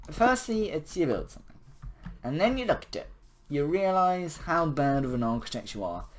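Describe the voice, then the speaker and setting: A young man with a hoarse, fading voice talks casually through a building session in a game. His pitch swings widely, and he keeps mocking himself with dry chuckles. Faint keyboard clicking runs behind him. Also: slight background noise. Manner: self-mocking, chuckling, rambling. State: hoarse, voice nearly gone. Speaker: gaming video creator.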